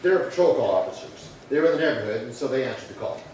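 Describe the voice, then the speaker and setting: A man playing a terse, deadpan police detective sergeant in a 1950s-style radio crime drama, clipped and businesslike. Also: mid-range voice, quick pace, close to an everyday voice. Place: live theatre performance space. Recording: very echoey hall, distant, faint noise.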